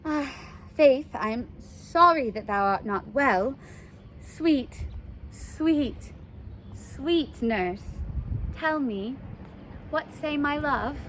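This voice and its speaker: A woman's voice: high voice, expressive, extremely slow, pauses often, fairly bright tone, heavily acted, rough recording. A woman reading the part of a lovesick, impatient teenage girl in a stage play, dramatic and pleading, with some noise behind her. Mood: urgent, exasperated.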